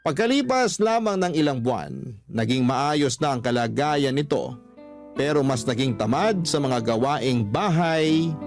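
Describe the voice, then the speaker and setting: Man, radio storyteller, medium pitch, dramatic first-person narration, soft music bed. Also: animated, a little slow. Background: faint music.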